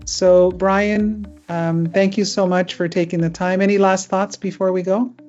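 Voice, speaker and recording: A woman's voice: mid-range voice, little pitch movement, unhurried, darkish tone, soft background music. A middle-aged woman hosting a webinar as an immigration lawyer, chatting casually with many fillers. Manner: chatty, with frequent fillers and laughs. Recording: muffled lo-fi video call audio.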